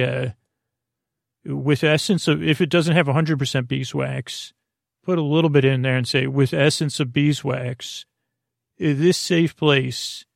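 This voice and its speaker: A man hosting a bedtime podcast in a creaky voice, rambling in a soothing, halting, meandering way with casual friendly banter. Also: medium pitch, dry recording, clean studio sound. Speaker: podcast host.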